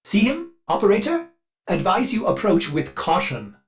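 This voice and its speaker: Fussy, animated male ship AI. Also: sits high, moderate pace. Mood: anxious. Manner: polite, theatrical, refers to self in third person. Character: fussy, devoted male ship AI, eager and anxious. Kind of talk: video game companion dialogue.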